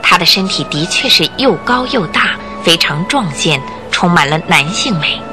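A woman's voice: on the low side, animated, moderate pace, over music. A woman narrator telling a scripted radio drama story in a vivid, emphatic way.

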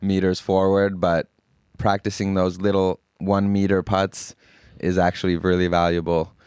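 A young man from Finland with an American accent, calmly explaining things as an interview guest and often repeating words. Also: deep voice, normal speed, smooth, no room sound, studio quality. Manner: calm, even explanations with frequent word repeats. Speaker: guest, golf caddie. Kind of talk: casual interview answers.

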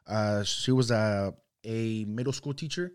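A man's voice: fairly low pitch, a little slow, a little mellow, clean tone. A young man from Mexico whose first language is Spanish, a guest talking casually on a podcast with lots of "you know" and "like". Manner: loose, rambling, full of "you know" and "like". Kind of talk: casual conversational interview.

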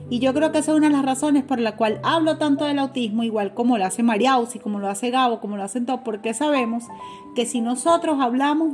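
Enthusiastic higher-voiced woman co-host. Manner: warm, enthusiastic and talkative. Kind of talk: casual talk show chat.

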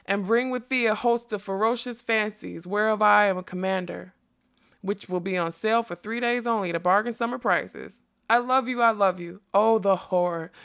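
A woman's voice: medium pitch, a bit bright, clean tone. Woman voicing a whimsical, theatrical, scatterbrained male butterfly. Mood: swaggering, then melodramatic. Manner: theatrical, rambling, bursting into song. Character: whimsical scatterbrained male butterfly who sings and quotes nonsense. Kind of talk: acted audiobook storytelling.

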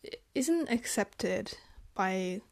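Young woman vlogger from Germany, unhurried and reflective, pausing to find her words. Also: medium pitch, lively intonation. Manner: thoughtful, hesitant, halting phrasing. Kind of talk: reflective personal monologue.

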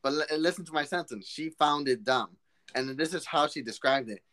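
A young man in his twenties talks through a loose podcast chat with friends, tumbling over his own words and getting excited. His voice is bright and sits a little high. He restarts sentences often as he goes. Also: normal speed. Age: 20s. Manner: rambling, restarts a lot, excitable. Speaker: podcast co-host. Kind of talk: casual chat.

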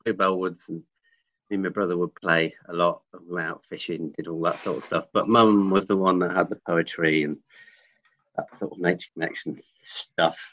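Bright, upbeat English man. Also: sits low, moderate pace, dull audio. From England. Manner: lively, upbeat, chuckling. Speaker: guest. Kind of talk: podcast interview.

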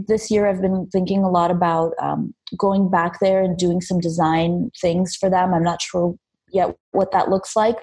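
Young woman designer, interview guest; reflective and eager, lots of ums, husky low-ish tone. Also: dark tone. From Armenia, raised in Los Angeles. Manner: reflective, enthusiastic, many fillers and restarts. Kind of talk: casual interview.

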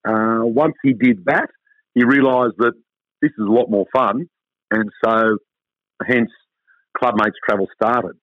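A husky-voiced Australian man comes through a thin, phone-like line with no room sound around him. He rambles cheerfully about his business, padding his sentences with fillers. His pitch sits in an ordinary middle range. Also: steady tone, a little slow, long pauses, dry recording. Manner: upbeat, rambling, many fillers. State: hoarse. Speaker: travel company owner. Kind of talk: casual interview chat.

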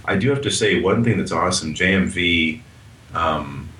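A man's voice: sits low, normal speed, pushed and bright. A man co-hosting a movie podcast in casual chat, animatedly retelling scenes with relish. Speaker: podcast co-host.

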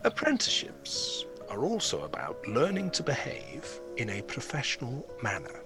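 A man's voice: medium pitch, huge pitch swings, normal speed, over music. A man playing a pompous, prickly beekeeper in an audio drama, pedantic and condescending. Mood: huffy. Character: pompous, prickly male beekeeping club member. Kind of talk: naturalistic audio drama acting.

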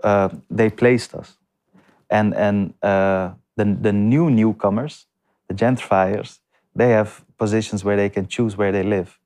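A man with a fairly low voice talks through his answers at an unhurried pace. He stops and restarts often, leaning on fillers as he works out what to say. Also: steady tone, darkish tone. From came to the Netherlands as a refugee. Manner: halting, many restarts and fillers. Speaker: guest. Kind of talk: conversational interview answers. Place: lives in north Amsterdam.

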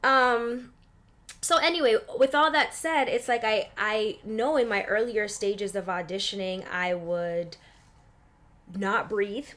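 A woman with a mid-pitched voice talks casually as an interview guest. She explains her points informally, peppered with fillers, and slips into quoted dialogue as she tells stories. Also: takes it slowly, a bit bright. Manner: informal, peppered with "like" and "you know". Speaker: interview guest, actress and film industry buyer.

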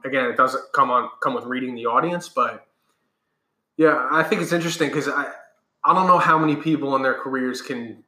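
A man, a corporate trainer, talking in a casual interview with a loud, high-energy, projecting voice. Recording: echoey room.

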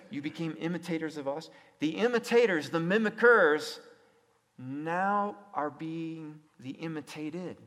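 A man's voice: on the high side, a bit bright. A man preaching a sermon in an emphatic way, repeating phrases and putting rhetorical questions to his listeners. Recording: echoey hall with long reverb.

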